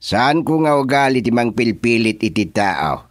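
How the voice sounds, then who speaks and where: An actor playing an eager, talkative political underling in a radio comedy-drama, emphatic and exclamatory, with an Ilocano accent. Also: male voice, medium pitch, expressive, moderate pace, no room sound, close to an everyday voice. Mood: insistent.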